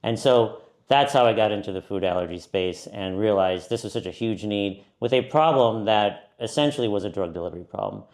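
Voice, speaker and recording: Middle-aged man, low register, relaxed interviewee who explains with fillers. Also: brisk, few pauses. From California. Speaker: company founder and CEO. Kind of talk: conversational interview.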